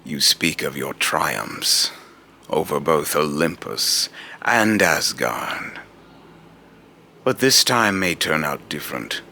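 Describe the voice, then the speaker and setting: Man voicing a very deep, vengeful god. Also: animated, vocal fry, pushed and bright, slight background noise, exaggerated voice. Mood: menacing, condescending. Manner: slow, solemn, deliberate, taunting rhetorical questioning. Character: vengeful male god, grave, accusing, condescending judge of mortals. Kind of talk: amateur voice-acting monologue with retakes.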